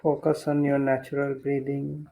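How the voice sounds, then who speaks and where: A man leading a guided meditation as a teacher, speaking gently and deliberately with long pauses between instructions. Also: medium pitch, monotone, gravelly, heavy background noise.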